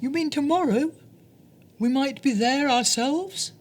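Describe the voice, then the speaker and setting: Excitable squeaky little girl mouse, voiced by a storyteller. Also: a little slow. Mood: excited. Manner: excited, breathy whispering at times. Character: small excitable female mouse, eager and wide-eyed.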